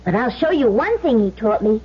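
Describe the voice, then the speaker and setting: A woman acting a playful young boy in an old radio play. The voice is high and swoops with excitement, and the recording is dull and lo-fi. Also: fairly quick, a little hiss or hum, lightly acted. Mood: eager. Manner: excitable, childlike. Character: eager, playful little boy.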